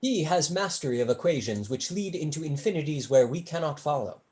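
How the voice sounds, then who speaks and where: A performer playing a witty young male tutor in a staged period play, speaking in a dry, measured, articulate way. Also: mid-range voice, lively intonation, darkish tone, close to an everyday voice.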